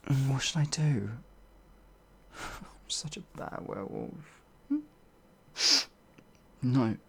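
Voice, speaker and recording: A man voicing a shy, gentle werewolf in an ASMR audio roleplay, hesitant and halting, with a breathy, gravelly voice that often drops toward a whisper. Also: mid-range voice, full character voice. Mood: panicked, pleading, then distressed, bewildered. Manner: hesitant, timid, halting, with sighs and trailing phrases. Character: shy, gentle, bumbling pacifist male werewolf, older than he looks.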